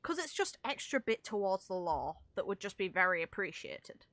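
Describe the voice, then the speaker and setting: Woman streamer reacting to a game, bright forceful voice, casual and sweary, close dry mic. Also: mid-range voice, normal speed, no echo. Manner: animated, informal, sweary. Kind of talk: casual, unscripted gameplay reaction commentary.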